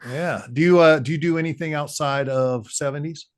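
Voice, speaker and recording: A man's voice: fairly high pitch, a little fast, clean tone. A man hosting an interview, asking questions in an enthusiastic, encouraging way. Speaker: interviewer host. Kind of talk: casual interview chat.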